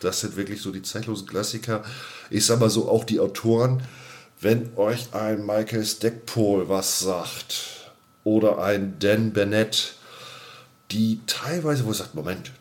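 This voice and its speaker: A man hosting a casual podcast, chatty and jokey, often repeating words for emphasis. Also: lowish voice. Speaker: podcast host.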